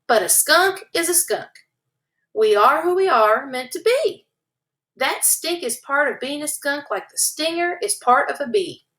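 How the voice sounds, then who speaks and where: A woman voicing a wise, kind male skunk mentor in a storybook, speaking gently and reassuringly. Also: high-pitched, animated, measured pace, pitched above the performer's own voice.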